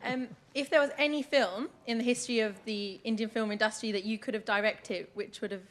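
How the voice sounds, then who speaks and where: A woman's voice: a little high, steady tone, a little fast, fairly bright tone. A woman in a theatre audience politely asking a question at a live show.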